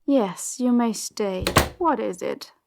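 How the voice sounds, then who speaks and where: A woman playing a cold, ruthless crime boss in an audio drama, commanding and dismissive in a measured way. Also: a little high, lively intonation, vocal fry, heavy background noise, exaggerated voice. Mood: cool, probing. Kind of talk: audio drama roleplay acting.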